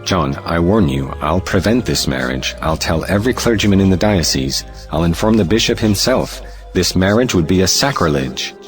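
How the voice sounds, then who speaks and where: A man's voice: deep voice, lively intonation, moderate pace, soft background music. A man playing an earnest, principled clergyman in an audio play, solemn and moralizing. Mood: stern warning.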